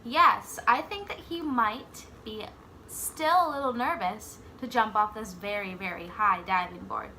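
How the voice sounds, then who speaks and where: A woman reading a picture book aloud like a teacher, expressively, pausing to put simple questions to young children. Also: medium pitch, bright, forceful tone, slight background noise. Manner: pauses to ask simple questions and echo the answers.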